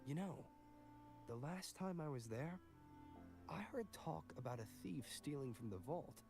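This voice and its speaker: A friendly young male adventurer with a fairly high voice. He sounds chatty and curious, as in video game dialogue, with music clearly behind. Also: animated, a little slow. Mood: inquisitive.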